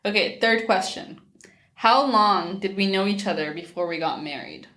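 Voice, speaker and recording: A woman in her twenties co-hosting a casual couple Q&A vlog, chatty and giggly, in a small bright room. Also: medium pitch, normal speed. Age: 20s. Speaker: co-host.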